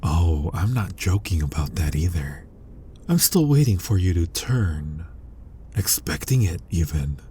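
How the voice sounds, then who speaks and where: A man playing an ancient, brooding, menacing vampire who hunts his own kind, in an ASMR roleplay, husky and breathy with a slow, deliberate, intimate delivery that often drops toward a whisper. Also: low-pitched, sing-song, warm tone, slight background noise. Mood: quiet menace. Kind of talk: scripted ASMR roleplay monologue.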